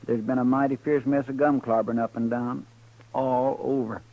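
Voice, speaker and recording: An actor playing a loyal, fretful deputy in a 1950s radio western, speaking in a folksy, twangy drawl. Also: male voice, medium pitch, little pitch movement, moderate pace, natural voice. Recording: tinny old radio broadcast.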